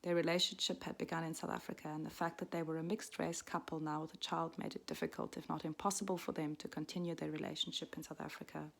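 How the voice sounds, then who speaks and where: A woman in her forties, raised in Switzerland, reading a personal story aloud in a soft, reflective way that often drops to a half-whisper. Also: low voice, flat delivery, fast, creaky, hardly any pauses. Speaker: midwife and storyteller.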